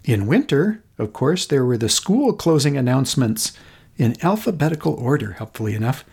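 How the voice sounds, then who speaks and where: A man's voice: mid-range voice, very animated, gravelly, a little mellow, husky. Middle-aged American man narrating a memoir. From Minnesota, United States. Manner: measured, literary storytelling with deliberate phrasing. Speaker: podcast host. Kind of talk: scripted narration, personal memoir essay.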